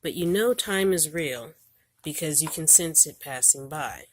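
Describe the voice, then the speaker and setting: A woman with a low voice reads aloud from an educational picture book for children. Her phrasing is deliberate, in the way of someone telling a storybook to young learners. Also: noisy background. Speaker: homeschool parent reading a book aloud.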